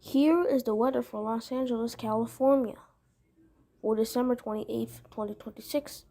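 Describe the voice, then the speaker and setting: A woman's voice: fairly high pitch, normal speed, warm tone. A woman playing a weather forecaster, reading a matter-of-fact scripted bulletin.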